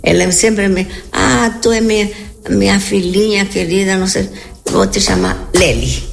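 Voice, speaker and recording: An elderly woman from Argentina, a native Spanish speaker with an Argentine Spanish accent, chatting in a halting, repetitive, anecdotal way as an interview guest. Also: medium pitch, expressive, gravelly, slight background noise. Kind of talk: casual conversational interview answers.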